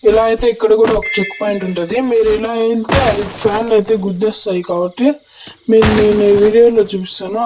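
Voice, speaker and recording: A woman giving instructional, repetitive game tutorial commentary through a voice changer. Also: medium pitch. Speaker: gaming video creator. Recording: clearly noisy home recording with clicks.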